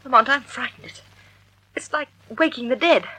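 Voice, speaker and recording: A woman's voice: sits high, normal speed, pushed and bright, breathy edge, heavily acted, rough recording. An actress playing an elegant, nervous and loyal lady companion to a sleuth in a 1940s-style radio mystery. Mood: hushed unease. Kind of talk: 1940s radio drama acting.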